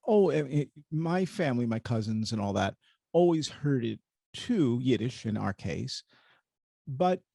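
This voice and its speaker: Male interview host, gravelly, animated, dry close sound. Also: medium pitch, dry recording. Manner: lively, questioning, breaks off and restarts. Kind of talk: conversational interview.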